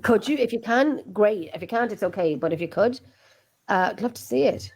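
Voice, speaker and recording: A woman's voice: medium pitch, lively intonation, a little fast, a little mellow. Chatty Irish woman hosting. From Ireland. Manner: chatty, laughs easily. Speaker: host. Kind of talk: casual group chat.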